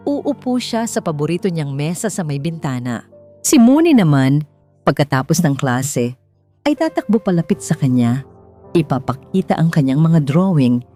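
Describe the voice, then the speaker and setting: A woman's voice: deep voice, mellow. A woman with a Filipino accent narrating a scripted dramatic romance story, as a storyteller. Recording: music under the voice. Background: background music.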